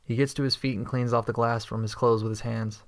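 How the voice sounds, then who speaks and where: A man reading scripted first-person sci-fi narration in a steady, understated way. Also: mid-range voice, fairly quick. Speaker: narrator and protagonist.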